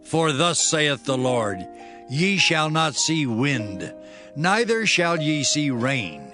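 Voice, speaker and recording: An actor playing a stern prophet, declaiming solemnly in a dramatized scripture production. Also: male voice, fairly high pitch, very animated, music in the background. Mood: solemn, prophetic. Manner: solemn, declamatory, drawn-out phrasing. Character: stern male prophet delivering divine commands.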